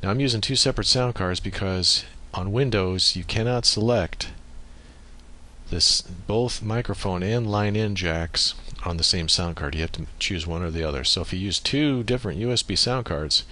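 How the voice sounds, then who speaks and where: A man giving a step-by-step software tutorial as screencast narration, speaking into a USB microphone. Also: deep voice, moderate pace.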